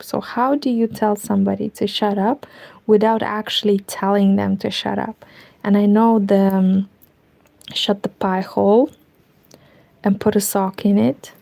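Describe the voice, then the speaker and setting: Woman, Romanian accent, casual knitting stream, rambling, half-whispered asides, gravelly. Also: medium pitch, warm tone. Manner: rambling, talks to herself, drifts into whispers. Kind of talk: casual chat while knitting. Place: home room with curtains.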